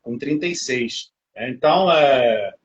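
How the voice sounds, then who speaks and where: A man's voice: mid-range voice, expressive, unhurried. A man in his thirties hosting a casual interview, chatty and often adding tag questions. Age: 30s. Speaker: host. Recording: dull lo-fi audio.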